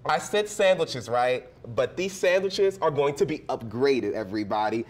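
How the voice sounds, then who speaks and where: A man's voice: medium pitch, lively intonation, normal speed. A man hosting a cooking segment on morning television, chatting in an upbeat, enthusiastic and exclamatory way. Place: TV kitchen segment.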